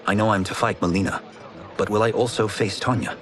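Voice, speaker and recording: Man playing an earnest, low-voiced young champion. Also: fairly even intonation, a little fast, a bit bright, lightly acted. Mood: curious. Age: young adult. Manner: earnest, respectful. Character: earnest, polite young farmer turned champion fighter. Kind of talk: dramatic video game acting.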